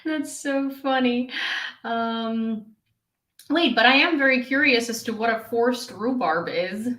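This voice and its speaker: Chatty young woman, slow and giggly. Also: fairly high pitch, a bit bright, reverberant. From Ukraine. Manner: chatty, giggly, lots of fillers and restarts. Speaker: streamer reading live chat. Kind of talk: casual live chat.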